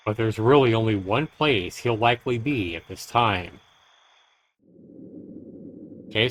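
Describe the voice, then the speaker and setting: A man's voice: lowish voice, a bit bright. A man livestreaming, reading game text aloud like a dramatic storyteller, with casual asides. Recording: clear background noise on stream.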